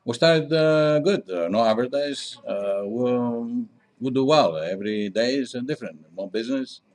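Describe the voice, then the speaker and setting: A middle-aged man from Greece with a Greek accent answers interview questions conversationally, in halting non-native English with frequent pauses. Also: mid-range voice, animated, a little mellow. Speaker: interview guest, diner owner.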